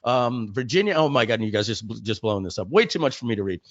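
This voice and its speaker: Low-voiced, slightly hoarse man hosting a webinar. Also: sing-song, quick pace. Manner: chatty, informal, addressing the audience directly. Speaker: company founder hosting a webinar. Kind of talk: casual live webinar chat. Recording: muffled, close dry webinar audio.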